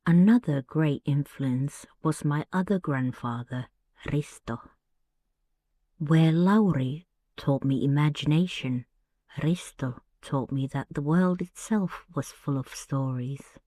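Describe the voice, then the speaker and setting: A woman from Finland with a Finnish accent, hosting a soft-spoken bedtime podcast and telling personal stories in a gentle, hushed way. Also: low-pitched, no echo, clean studio sound. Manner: slow, hushed, gentle delivery. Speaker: podcast host.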